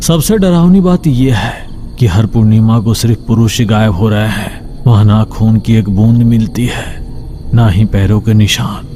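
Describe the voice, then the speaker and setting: Dark-voiced male horror story narrator. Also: fairly low pitch, a little slow, a little hiss or hum.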